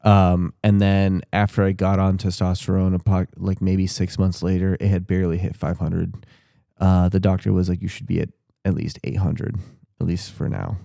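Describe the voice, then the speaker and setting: A man's voice: deep voice, flat delivery, normal speed, creaky, dark tone, dry recording, studio quality. A man in his thirties talking casually on a podcast, candid and rambling, with restarts and casual swearing. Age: 30s. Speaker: podcast co-host.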